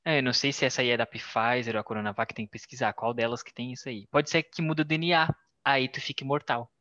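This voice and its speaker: A man's voice: mid-range voice, steady tone, fast talker, pushed and bright, no echo. A man co-hosting a podcast, chatting in a joking, offhand way over a dry, dull lo-fi mic. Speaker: podcast co-host. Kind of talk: casual podcast chat.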